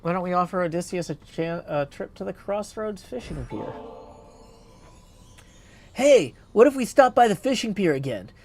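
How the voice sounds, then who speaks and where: A man voicing an earnest young goddess in a video game, with formal, measured, polite phrasing. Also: sits high, expressive, darkish tone, a little hiss or hum, pitched above the performer's own voice.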